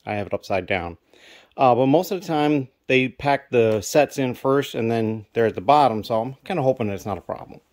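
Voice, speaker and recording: A man's voice: mid-range voice, lively intonation, a little fast, darkish tone. A man talking casually to his camera as he unpacks boxes, thinking aloud with lots of fillers and restarts. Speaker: vlogger, toy brick reseller. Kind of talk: casual vlog narration. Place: cluttered basement storage room, indoors.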